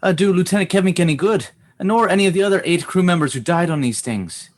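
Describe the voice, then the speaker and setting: A man's voice: sits high, fast, close to an everyday voice. An actor playing a fretful, frustrated male chief engineer on a space station in a comedic radio play. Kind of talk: comedic radio theater acting.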